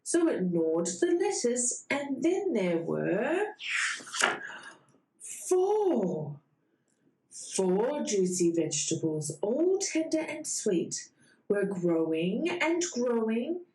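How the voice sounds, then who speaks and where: A woman reading a bedtime storybook to young children in a sing-song way, with dramatic pauses before key words. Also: a little high, very animated, dark tone. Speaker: children's read-aloud host. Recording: roomy echo.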